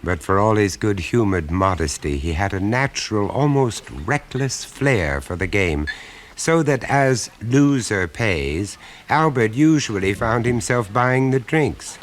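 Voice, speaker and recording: An actor narrating a radio play as a wry, measured male storyteller of a suburban tale. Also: fairly low pitch, animated, slight background noise. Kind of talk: scripted radio drama narration. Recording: 1970s radio play recording.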